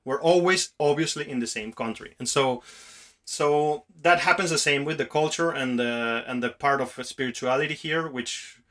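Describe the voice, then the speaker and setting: A man hosts a casual podcast with a sharp, bright voice pitched a little high. He speaks reflectively and earnestly, rambling from one thought to the next as if among friends. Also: normal speed. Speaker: podcast host.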